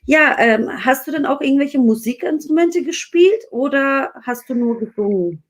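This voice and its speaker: Chatty higher-voiced woman host. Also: lively intonation, moderate pace. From Dersim, Turkey. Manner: warm, chatty, with many fillers and restarts. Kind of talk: casual live interview in German.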